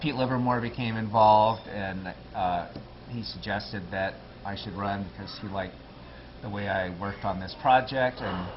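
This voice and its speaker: A man's voice: on the low side, measured pace, lo-fi sound, slight background noise. A man running for local office, talking earnestly and plainly as a guest on a local TV interview. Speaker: political candidate.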